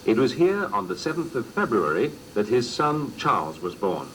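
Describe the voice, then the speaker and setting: Lively male documentary narrator on noisy tape. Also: mid-range voice, normal speed. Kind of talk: scripted narration. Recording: noisy old cassette recording.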